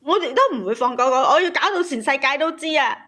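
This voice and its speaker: Female caller, very high voice, indignant and worked up, emotional storytelling on a talk show. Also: expressive, quick pace, pushed and bright. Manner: agitated, indignant, repeats words for emphasis. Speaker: caller telling a family grievance.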